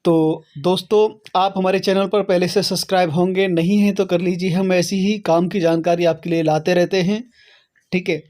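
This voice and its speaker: Guy w/ pretty high voice doing a how-to tutorial, explains step by step, keeps checking ur following. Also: steady tone, normal speed, a little mellow. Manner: step-by-step, repeatedly checking with "okay". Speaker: host of an online how-to channel. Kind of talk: explanatory tutorial talk to viewers.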